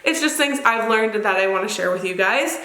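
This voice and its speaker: A woman with a mid-pitched voice that sounds crackly and stuffed up, clearly nasal from congestion. She talks informally as a fitness vlogger, sharing advice and stressing points by repeating words. The room adds a noticeable echo around her. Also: expressive, fairly quick, bright, forceful tone. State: congested, recovering from illness. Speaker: fitness vlogger and channel host. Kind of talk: casual advice talk to camera. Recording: roomy echo on the voice.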